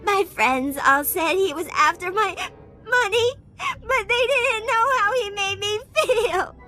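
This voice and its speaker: A woman's voice: very high-pitched, unhurried, sharp tone, soft background music, exaggerated voice. A woman voicing a naive, melodramatic, lovelorn girlfriend in a video game, with a whiny way of talking. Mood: tearful, hurt.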